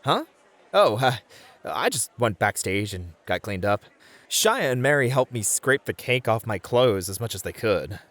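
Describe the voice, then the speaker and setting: An actor playing a beefy, experienced club host, a flirty and politely teasing charmer in a scripted audio roleplay. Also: male voice, medium pitch, very animated, unhurried, fairly bright tone, some chatter behind. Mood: teasing, suave.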